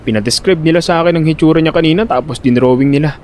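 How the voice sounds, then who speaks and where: High-pitched male voice, man acting as panicky Filipino older brother, audio drama storytelling, exasperated, faint rumbly background noise. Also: very fast, darkish tone, close to an everyday voice. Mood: defensive. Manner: flustered, stammering when pressed, exasperated. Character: panicky, talkative older brother, a debt collector witness. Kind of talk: first-person narration and dialogue in Filipino audio drama.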